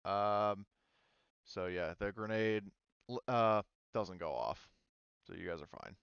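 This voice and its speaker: A man with a lowish, very clear voice runs a tabletop role-playing session as game master. He thinks aloud in halting stretches. The recording is dry and slightly muffled. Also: no room sound.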